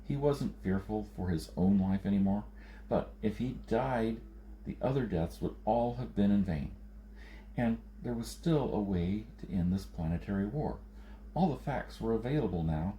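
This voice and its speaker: Low-voiced man reading science fiction. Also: animated, moderate pace, mellow, slight background noise, poor audio. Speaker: audiobook reader. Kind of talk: narrating a science fiction novel.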